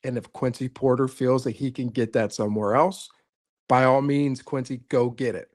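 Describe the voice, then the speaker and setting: A man's voice: mid-range voice, steady tone, moderate pace, a little mellow, no room sound, clean studio sound. A man co-hosting a casual sports podcast, talking earnestly and rambling, often restarting his sentences. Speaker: co-host, coach.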